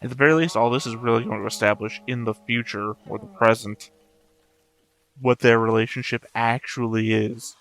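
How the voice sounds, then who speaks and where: A man's voice: mid-range voice, steady tone, moderate pace, fairly bright tone. A man hosting a reaction show, commenting casually and off the cuff in a wry, musing way.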